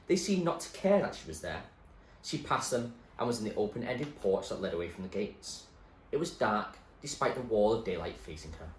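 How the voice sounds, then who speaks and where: A man reading a novel aloud with measured storytelling, in an echoey room. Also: fairly low pitch. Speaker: reader. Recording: echoey room, faint noise.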